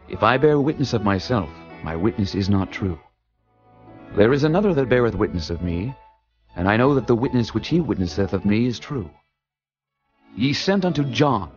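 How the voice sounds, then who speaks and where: An actor playing Jesus as a calm, authoritative male teacher, speaking solemnly in a dramatized scripture reading. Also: medium pitch, natural voice. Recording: dull lo-fi audio with music.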